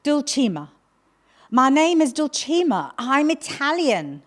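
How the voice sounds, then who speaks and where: High-voiced, hesitant captive. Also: female voice, big pitch swings, slow pace, exaggerated voice. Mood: grateful, deferential. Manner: hesitant, polite. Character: wary, deferential young woman captive of a commander. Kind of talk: audio drama acting.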